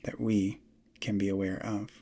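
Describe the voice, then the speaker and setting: A man guiding a meditation in soft, breathy, measured short phrases with long pauses, often trailing into a near whisper. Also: on the low side, flat delivery. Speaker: meditation guide. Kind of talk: guided meditation, slow instruction.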